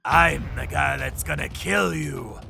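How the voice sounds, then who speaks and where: An actor playing a ruthless vigilante torturer in a dubbed comic story, cold, menacing and mocking. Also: male voice, fairly high pitch, takes it slowly, vocal fry, pushed and bright, heavily acted. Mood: cold menace. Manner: menacing, taunting. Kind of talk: dramatic first-person narration and acted fight dialogue. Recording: music behind the voice.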